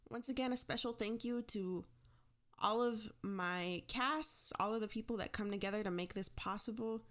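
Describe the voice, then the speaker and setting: A woman podcast host with a medium-pitched voice chats casually to her listeners. She is informal and chirpy, with a self-deprecating streak. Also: fairly bright tone. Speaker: podcast host and show creator.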